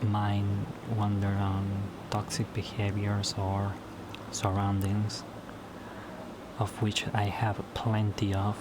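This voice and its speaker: A slow, low-voiced man whose native tongue is Spanish, chatting alone to his camera at home late at night. He talks haltingly, restarting and repeating words as he goes. A clear hiss of background noise sits under the recording. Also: monotone. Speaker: YouTuber. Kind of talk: casual solo vlog talk.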